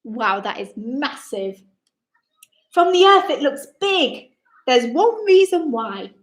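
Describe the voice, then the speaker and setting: A woman's voice: sits high, big pitch swings, slow. A woman hosting a children's storytime, reading rhyming picture-book text aloud in a theatrical, exclaiming way and speaking directly to young listeners. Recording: roomy, echoing indoor sound.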